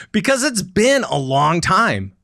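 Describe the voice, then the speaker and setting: A man hosting a podcast, chatting casually in a halting way with many fillers. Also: high-pitched, wildly swooping pitch, pushed and bright, no room sound, studio quality. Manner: halting, lots of fillers and laughter. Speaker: podcast host.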